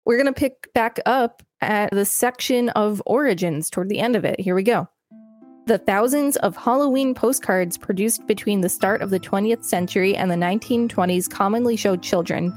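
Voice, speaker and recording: A woman's voice: mid-range voice, normal speed, a little mellow. Woman podcast host reading aloud over music. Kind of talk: reading an encyclopedia article aloud with commentary.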